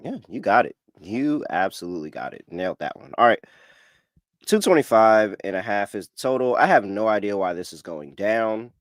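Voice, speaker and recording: Guy hosting a betting podcast, lowish voice, super dry close sound, slangy and chatty. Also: lively intonation, normal speed, no echo. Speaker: sports betting podcast host. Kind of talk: casual chat, ad reads and betting picks.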